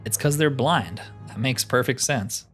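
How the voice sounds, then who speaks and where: A man's voice: mid-range voice, measured pace, a bit bright, husky. A man giving casual gameplay commentary, thinking aloud with dry, joking asides. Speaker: gamer narrating a roleplay playthrough. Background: game music.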